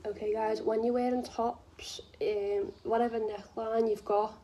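A woman's voice: on the high side, flat delivery, measured pace, warm tone. A young woman with a northwest English accent chats casually to camera in a rambling, self-deprecating way, recorded on a phone at home. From northwest England. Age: young adult. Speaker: vlogger. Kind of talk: casual chat to camera while doing makeup. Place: indoors in a room at home. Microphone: phone propped close in front.

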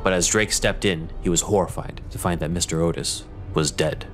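A man's voice: low-pitched, steady tone, moderate pace, faint music behind. A man narrating an audio drama in a scripted, dramatic style.

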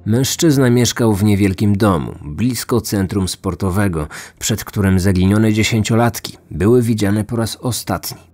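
Low-voiced man narrating true crime. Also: normal speed, hardly any pauses, darkish tone, soft background music. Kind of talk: scripted documentary narration.

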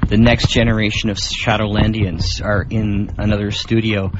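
A man's voice: fairly low pitch, flat delivery, normal speed. A man hosting a radio show in a casual, chatty style. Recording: dull radio recording, loud noise.

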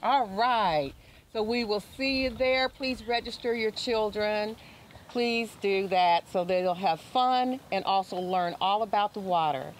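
A woman's voice: medium pitch, measured pace. A middle-aged woman hosting a local TV interview outdoors into a handheld microphone. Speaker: local TV show host. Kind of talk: on-location interview and presenting. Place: outdoors on a waterfront dock. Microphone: handheld reporter microphone. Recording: outdoor location sound with faint noise.

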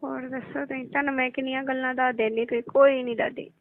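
A woman's voice: high voice, flat delivery, fast talker. A woman with a Punjabi accent chatting casually and intimately on a phone call. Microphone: telephone. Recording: narrowband tinny phone-call audio.

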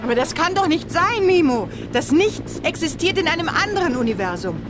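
An actress playing a skeptical, uneasy young heroine with expressive delivery in a children's sci-fi radio play. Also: female voice, very high-pitched, fairly quick. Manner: expressive, incredulous. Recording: helicopter noise behind the voice. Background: helicopter noise.

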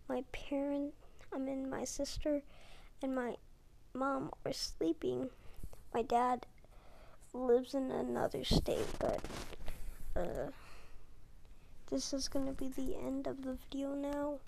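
A young girl talking in a hushed, often whispered voice late at night at home, with halting restarts. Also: high voice, flat delivery, vocal fry, rough recording. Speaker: video uploader. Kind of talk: casual talk over a screen recording. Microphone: tablet mic.

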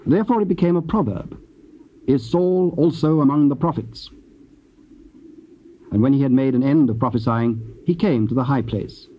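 A man reads scripture as a formal narrator with measured phrasing. His voice is of medium pitch, on a slightly dull old cassette recording with faint noise.

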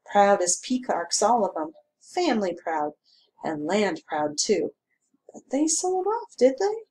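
Woman voicing a rural male constable, middle-aged, folksy drawl, gabby, slow, loud. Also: mid-range voice, sing-song. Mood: chatty. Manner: folksy drawling talk, sour then gabby. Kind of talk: audiobook character reading.

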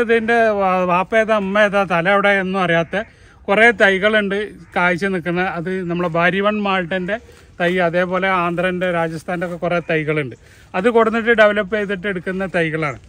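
A man from Kerala giving a casual tour of his plant nursery, talking in an animated, argumentative way and asking rhetorical questions. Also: high voice. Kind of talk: casual garden tour talk.

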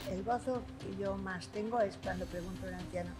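A woman's voice: medium pitch, fairly quick, music in the background. An older woman, a nun, speaking conversationally in an interview on a lapel microphone. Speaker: nun interviewed about care of the elderly.

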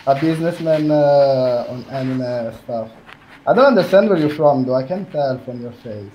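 A man's voice: mid-range voice, animated, moderate pace, highs cut off. A young man chatting as a speed date contestant, laid-back and hesitant, with many fillers. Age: young adult. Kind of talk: casual chat. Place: indoor room. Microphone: headset on a video call.

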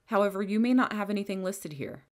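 A woman giving a clear, step-by-step software tutorial over a screen recording, speaking into a close microphone. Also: medium pitch, fast talker. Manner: clear, step-by-step instructional delivery. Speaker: software trainer. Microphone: headset or bench microphone.

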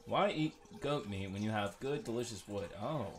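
A man's voice: lively intonation, moderate pace. A man giving casual, rambling gameplay commentary with joking asides to viewers. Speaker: gamer recording a playthrough. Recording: noisy, uneven low-level home recording.

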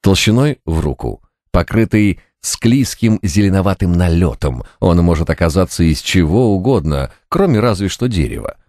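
A man reading fiction as an audiobook narrator, with an expressive, wry, dramatic delivery. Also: low-pitched, moderate pace, hardly any pauses, no echo, studio quality. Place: studio, dry and close.

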